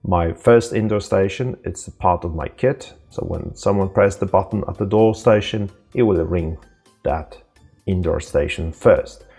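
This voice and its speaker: A man with a very low, husky and creaky voice presents a software tutorial. He talks informally and haltingly, taking the listener through each step without a script, with faint music behind him. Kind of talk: unscripted software walkthrough.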